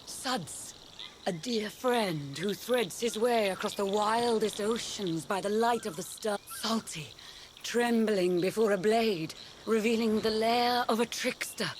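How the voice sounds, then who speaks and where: A woman's voice: mid-range voice, noisy background, lightly acted. Woman playing a solemn, cryptic mystic. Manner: slow, measured, solemn. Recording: game cutscene with music behind.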